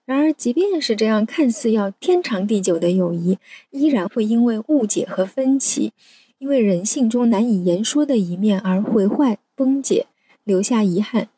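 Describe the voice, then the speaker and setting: A woman's voice: on the high side, animated, normal speed, mellow, slight background noise. A woman hosting a podcast, delivering a scripted introduction of a guest and subject. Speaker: podcast host.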